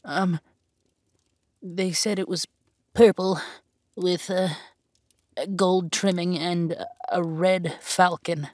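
Woman as timid servant, audio roleplay, slightly low voice, slow, hesitant, deferential. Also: steady tone, a little mellow, no echo, studio quality. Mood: nervous, submissive. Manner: hesitant, apologetic.

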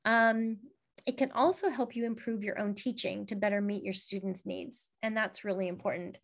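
A woman's voice: mid-range voice, steady tone, normal speed, creaky. A middle-aged woman giving an online teacher-training talk over a video call, conversational and encouraging, often checking in with "right?". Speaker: workshop presenter.

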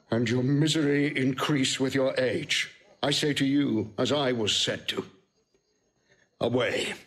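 Guy acting bitter old roman nobleman, haughty shakespeare audio play delivery, middling pitch. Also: natural voice. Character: dignified old Roman patrician, wounded and scornful.

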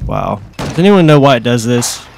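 Young male streamer, nasal from a cold, casual and reactive, mid-pitched, heavy gunfire behind. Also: normal speed, gravelly, a little hiss or hum. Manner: reactive, swings from mutters to excited shouts. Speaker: gaming streamer. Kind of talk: casual gameplay commentary. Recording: voice over loud gunfire game audio.

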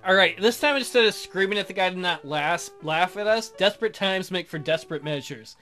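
A male let's player reads visual novel narration with a lively, moving pitch. He is informal and breaks off for offhand remarks. Guitar music plays behind him. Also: a little fast. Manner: informal, self-interrupting. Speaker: let's play host. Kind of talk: reading visual novel narration with casual commentary.

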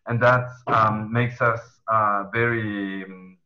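Young Argentine man, Spanish accent, low-pitched, halting panel host, muffled call audio. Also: steady tone, pushed and bright. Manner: hesitant, many ums and restarts. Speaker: panel moderator, HR consultant. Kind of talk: webinar panel introduction. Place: indoor room at home. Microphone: headset earphones on video call.

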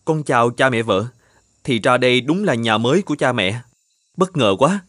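Earnest young bridegroom. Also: male voice, mid-range voice, animated, normal speed, fairly bright tone, dry recording, studio quality. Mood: puzzled. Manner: earnest, questioning, old-fashioned polite speech. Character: earnest young bridegroom, devoted and easily puzzled. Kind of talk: animated folktale dubbing. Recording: music under the voice.

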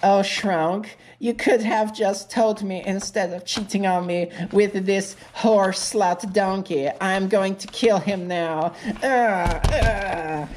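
A woman voicing an angry, heartbroken male gingerbread cookie man in a halting, repetitive, stilted way. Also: medium pitch, fairly even intonation, a little mellow, slight background noise, lightly acted. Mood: vengeful.

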